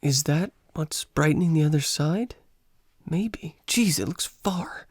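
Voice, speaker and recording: A man's voice: fairly high pitch, takes it slowly, slightly rough, no echo. Radio play role: male diver, muttered inner monologue, unsure, awed, very dry sound. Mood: awed, uncertain. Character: awed, uncertain male diver talking to himself. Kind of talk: radio drama acting.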